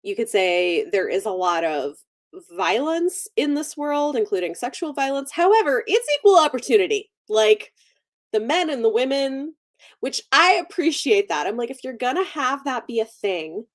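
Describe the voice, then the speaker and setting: A woman's voice: a little high, big pitch swings, normal speed. A woman hosting a casual livestream book chat, talking conversationally and thinking aloud as she goes. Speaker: book channel host.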